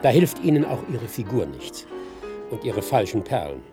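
A sardonic, ill-humoured private detective of middle age in a radio drama. His pitch swings widely as he tosses out rude, weary wisecracks, with music playing behind him. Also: big pitch swings, normal speed. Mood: irritated, sarcastic. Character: jaded, ill-humoured hard-drinking male private detective. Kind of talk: German radio play acting. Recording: studio radio play with music.

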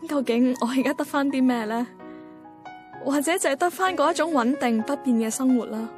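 A woman's voice: high voice, fairly quick, a little mellow, lightly acted. A woman playing a wistful, introspective young working woman in a radio drama, with a Hong Kong Cantonese accent. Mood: wistful, musing. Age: young adult. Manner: introspective, musing. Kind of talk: radio drama first-person monologue. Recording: clear music bed behind voice.

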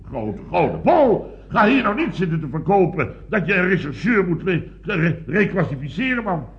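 An actor playing an excitable, blustering building boss who stammers and garbles words in a radio comedy. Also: male voice, high-pitched, normal speed. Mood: flustered, indignant. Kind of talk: Dutch radio comedy acting, around 1970. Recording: dull old radio recording.

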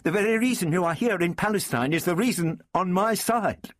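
A man's voice: high-pitched, brisk, dry recording, studio quality, pitched above the performer's own voice. A man voicing a furious, defiant young princess in a dramatised storybook reading, impassioned and scornful. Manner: impassioned, scornful, crackling with emotion.

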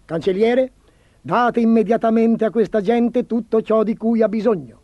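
Man playing a high-pitched, petulant, vain emperor. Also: normal speed, no echo, lo-fi sound, natural voice. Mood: delighted. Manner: petulant, commanding, theatrical. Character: vain, imperious, spoiled emperor obsessed with clothes. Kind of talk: storybook character voice.

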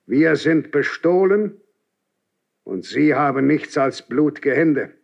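An actor playing an aged, unyielding Grand Inquisitor in a classical German radio drama, speaking in a severe, measured, declamatory and reproachful way. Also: male voice, a little high, sing-song, gravelly, full character voice. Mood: accusing. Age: older. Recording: dull 1970s radio drama recording.

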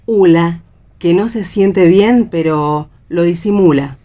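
A woman's voice: fairly low pitch, slow pace, warm tone. A woman reading out stage directions as scripted narration for a radio play.

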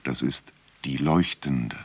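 Very deep, grave legend teller. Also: male voice, slow pace. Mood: solemn, unhurried. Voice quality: very deep, husky. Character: grave male teller of an old saint's legend. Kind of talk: storybook-like legend narration in radio drama.

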